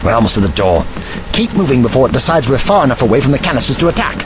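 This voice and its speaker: A man playing a calm, protective, wry time-travelling adventurer with measured, formal phrasing in an audio drama. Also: mid-range voice, music in the background, close to an everyday voice. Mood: hushed caution. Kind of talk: fan audio drama acting.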